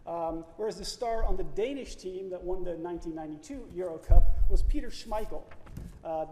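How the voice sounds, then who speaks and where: A man with a Dutch accent introducing a guest speaker in a lecture auditorium, measured and wry, with frequent ums. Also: sits high, mellow. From Netherlands. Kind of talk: formal speaker introduction with dry humor. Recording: reverberant hall, faint room noise.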